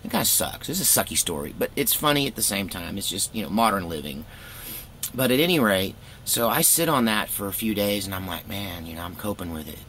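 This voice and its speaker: A man's voice: lowish voice, expressive, brisk, sharp tone, slight background noise. A middle-aged American man telling a personal story casually, in a candid, folksy, rambling way.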